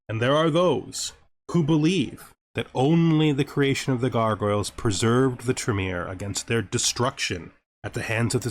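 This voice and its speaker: A man's voice: medium pitch, slight background noise. A man hosting a gameplay series, speaking as a narrator and commentator. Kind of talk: gaming commentary with scripted lore narration.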